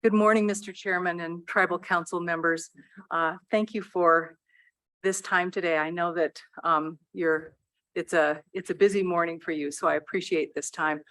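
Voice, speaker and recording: Lady introducing visitors to a council, kinda low voice, lots of ums, dry close sound. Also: fairly even intonation, moderate pace, fairly bright tone, no echo. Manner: polite, with many fillers. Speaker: program presenter addressing council. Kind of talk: formal presentation. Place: council meeting room.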